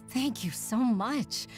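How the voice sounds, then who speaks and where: A woman voicing a polite, grateful townswoman in a video game. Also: a little high. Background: game music.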